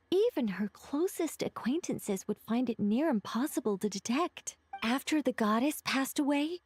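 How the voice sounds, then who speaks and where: Composed, knowing woman, high-pitched, dry sound. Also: dry recording. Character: calm, knowing, mysterious woman who reveals secrets. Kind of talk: video game voice acting, measured explanation.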